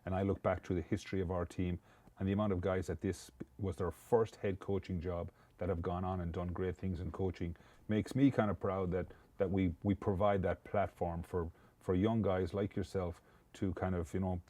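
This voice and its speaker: Deep, mellow-voiced podcast host man. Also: flat delivery, moderate pace, dry recording. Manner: rambling, chatty, with many restarts. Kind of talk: casual interview podcast.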